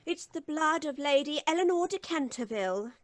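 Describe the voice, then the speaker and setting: A woman's voice: sits high, animated, moderate pace, dry recording. A woman playing a solemn, foreboding housekeeper with a formal manner in an old-time radio drama. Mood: ominous. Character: solemn, ominous English housekeeper. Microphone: studio mic, video call.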